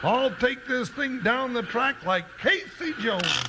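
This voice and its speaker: A man playing an excitable, bumbling comic cowboy sidekick in a 1950s radio western, folksy and boisterous. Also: exaggerated voice. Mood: eager, boastful. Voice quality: high-pitched, folksy comic voice. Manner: boisterous, drawn-out folksy exclamations. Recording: noisy old radio recording, rumbling effects.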